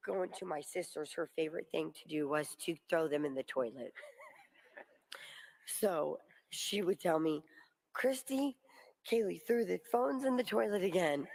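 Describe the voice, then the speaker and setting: A woman's voice: mid-range voice, vocal fry, darkish tone, slightly rough. A woman speaking at a memorial, telling a family story and laughing fondly as she goes.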